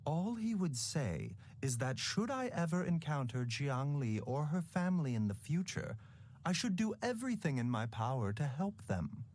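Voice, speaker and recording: An actor playing a calm, enigmatic young male doctor in a video game, speaking in a measured, unhurried way with a sly, teasing edge. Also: a little high. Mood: reflective.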